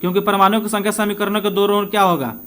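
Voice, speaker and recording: High-pitched man teaching exam questions. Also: little pitch movement, rapid-fire, a bit bright. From India. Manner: conversational, asks and answers own questions, switches between Hindi and English. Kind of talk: informal lesson working through practice questions.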